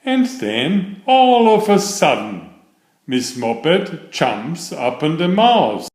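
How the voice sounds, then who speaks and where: A man narrates a children's story at a slow, deliberate pace, his pitch rising and falling with plenty of animation. The voice sits in a medium male register, with audible room echo around it. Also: sing-song, a little mellow. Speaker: storyteller reading children's picture books. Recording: roomy echo on the voice.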